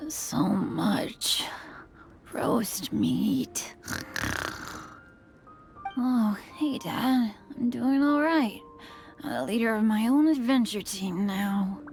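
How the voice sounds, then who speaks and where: A woman's voice: fairly high pitch, very slow, pauses often, music in the background. Drowsy, drawling young adventurer boy. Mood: dreamy mumbling. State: drowsy, mumbling. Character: sleepy teenage boy adventurer, earnest and good-natured. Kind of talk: anime video game voice acting.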